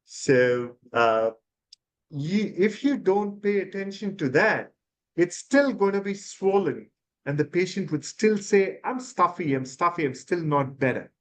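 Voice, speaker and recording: Male ENT specialist, fifties, from India; hesitant explainer, slightly high voice. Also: animated, measured pace. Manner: explanatory, hesitant with fillers and restarts. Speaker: ENT surgeon guest. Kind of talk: expert interview answers.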